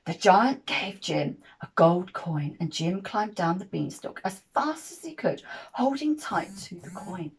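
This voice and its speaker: A woman reading a children's picture book aloud as an expressive storyteller. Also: lowish voice, a little slow, breathy edge.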